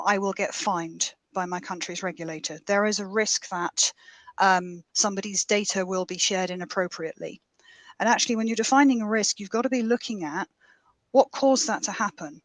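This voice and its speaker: A woman speaking on a conference panel. She explains in a brisk, emphatic way, and her voice has a dry, close sound with no room around it. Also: medium pitch, no room sound. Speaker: panelist, data lead.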